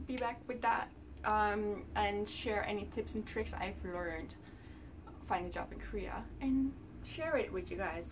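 Young Dutch-accented woman vlogging from her room, casual and rambling, mid-pitched voice, mic set back with light background hiss. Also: far from the mic. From Netherlands. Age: 20s. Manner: chatty, lots of ums, talks with her hands. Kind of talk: casual talk to camera. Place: small bedroom indoors.

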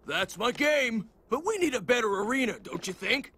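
Very high-pitched bombastic football villain. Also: male voice, lively intonation, moderate pace, husky, heavily acted. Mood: boastful. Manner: shouting, showy. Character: bombastic, cocky American football star villain.

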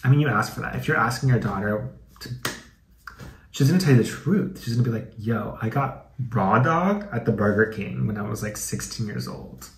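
A man hosts a comedic reading in a casual, campy style. His medium-pitched voice is sassy and slangy, with swearing mixed into the commentary. The recording is roomy, with noticeable echo. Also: moderate pace, creaky, a little hiss or hum. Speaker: YouTube host.

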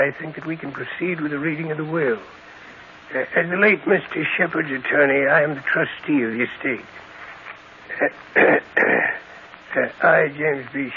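An actor playing a formal, businesslike lawyer, reading aloud in measured, slightly hesitant fashion in an old radio drama. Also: male voice, a little high, phone-quality sound, lightly acted. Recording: thin old radio broadcast, some noise.

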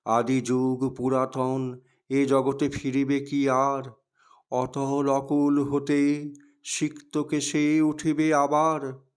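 A man reciting poetry in a dramatic style, with measured, deliberate, declamatory phrasing. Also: mid-range voice, completely flat delivery, clear, resonant tone.

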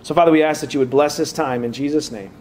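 A man opening a church class with a halting prayer and then teaching, his voice slightly raspy from preaching. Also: on the high side, steady tone, a little mellow, slight background noise. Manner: halting, many "uh" fillers and restarts.

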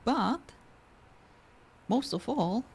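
A woman improvising in-character conversation in an online game, halting as she searches for words. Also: a little high, expressive, a little mellow, slightly rough.

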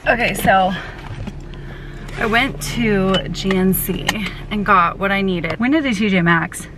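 A woman's voice: mid-range voice, measured pace, roomy sound, noisy background. A young woman in her twenties chatting casually to the camera in a vlog, full of "like" fillers, filmed at home and in her car. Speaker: vlogger. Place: small apartment bathroom and car.

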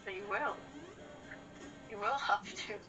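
A woman's voice: medium pitch, unhurried, dull audio. A woman co-commentating on a game in casual banter, with a teasing, sardonic manner. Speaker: co-commentator on a gaming show. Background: video game music.

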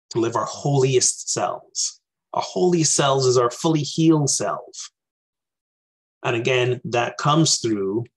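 A man's voice: mid-range voice, fairly bright tone, husky, rough recording. A man in his forties from Barbados, giving an earnest, reflective talk over a video call from a small room. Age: 40s. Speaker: minister. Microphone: webcam on a video call.

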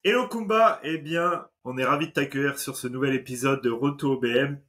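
A man's voice: mid-range voice, fairly quick. Man in his forties, podcast host on a video call, easygoing and chatty. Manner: relaxed, chatty, filler-heavy. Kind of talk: casual interview hosting.

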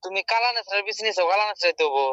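A man with a high, forceful voice and a rural Bangladeshi dialect argues on the phone as a shopkeeper. He talks extremely fast and sounds exasperated, in a dry recording. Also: animated, no echo, dull audio. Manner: exasperated, argumentative. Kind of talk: argumentative phone conversation.

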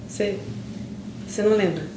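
A young actress with a Brazilian accent playing an emotional woman pleading with a long-lost friend in improvised theatre, speaking haltingly with pauses. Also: medium pitch, lightly acted. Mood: tentative, pleading. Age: young adult. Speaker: stage actress. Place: indoor theatre stage. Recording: roomy, distant, noisy stage recording.

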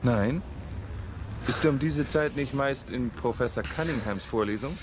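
Actor as flippant student, noisy street. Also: male voice, medium pitch, measured pace, lightly acted. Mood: wry. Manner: wry, joking. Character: flippant young male student Toni, mocking. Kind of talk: German radio drama acting. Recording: outdoor traffic ambience behind voice.

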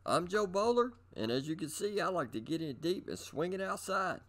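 Man, middle-aged, slightly high voice, very lively intonation, scripted tutorial narration, upbeat host. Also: normal speed. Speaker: host of a bowling instruction video.

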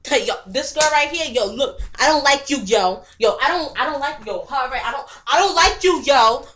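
A woman's voice: fairly high pitch, sing-song, moderate pace, fairly bright tone, faint music behind. A woman playing a confrontational young female bully in a dramatic monologue, with slangy, repetitive taunts. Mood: hostile.

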